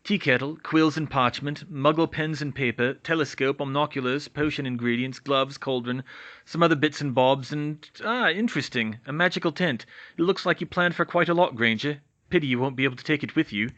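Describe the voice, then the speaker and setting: A man's voice: fairly high pitch, brisk, a bit bright, lo-fi sound, natural voice. A man voicing a dry, mocking grown man who mutters through a list in a matter-of-fact way.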